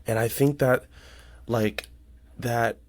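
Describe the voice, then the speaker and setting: A man's voice: medium pitch, steady tone, slow pace. A man in his late thirties chatting casually on a podcast in an earnest, reflective, rambling way. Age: late 30s. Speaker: podcast conversation partner.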